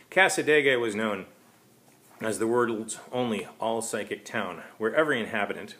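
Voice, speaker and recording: A man's voice: fairly low pitch, a bit bright. A man reading his own short story aloud as narrator, in a wry, conversational manner.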